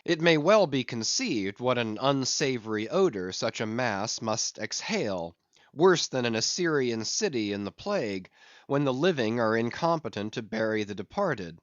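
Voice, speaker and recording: Expressive male audiobook narrator, dry sound. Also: medium pitch, normal speed, no echo, dull audio. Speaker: audiobook reader.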